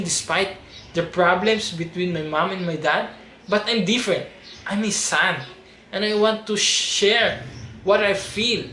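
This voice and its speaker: High-voiced young Filipino-accented man, pleading. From Philippines. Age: 20s. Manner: earnest, pleading. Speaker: reality show audition applicant. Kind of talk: personal plea to camera. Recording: roomy echo with steady background noise.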